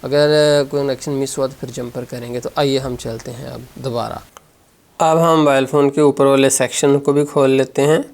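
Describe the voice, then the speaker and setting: A man's voice: medium pitch, flat delivery, normal speed, a little mellow. A man giving a hands-on repair tutorial, explaining step by step and repeating himself as an instructor does.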